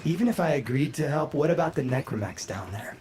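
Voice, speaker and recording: A man's voice: fairly high pitch, lively intonation, fast talker, natural voice. A man playing a reluctant, needling young son in a video game cutscene. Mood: sardonic. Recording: loud ambient noise behind the voice.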